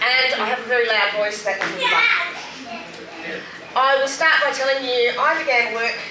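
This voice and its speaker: A woman's voice: on the high side, expressive, normal speed. Middle-aged Australian woman, roomy noisy distant recording. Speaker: guest speaker, anti-poverty group member sharing her story. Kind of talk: personal testimony in a public speech. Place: roomy venue at a public event.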